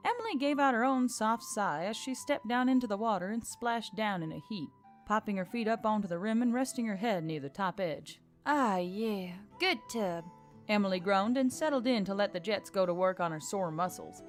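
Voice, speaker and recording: A woman's voice: medium pitch, expressive, a little fast. A woman reading a scripted fantasy story as narrator. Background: music.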